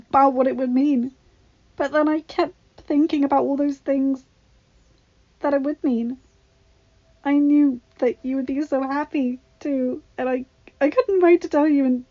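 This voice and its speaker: A tender young wife, played by a woman narrator speaks in a high, emotional voice full of grief. Her delivery is breathy and sobbing, as in a dramatic audiobook, on a slightly dull home recording. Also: steady tone, a little slow, mellow. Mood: grieving.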